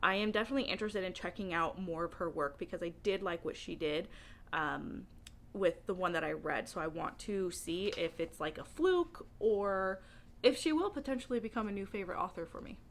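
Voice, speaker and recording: A woman chatting casually and informally as a book vlogger, animated and emphatic, often giggly as she talks. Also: lowish voice, fairly quick. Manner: giggly, laughs often while talking. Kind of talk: casual chatty video monologue.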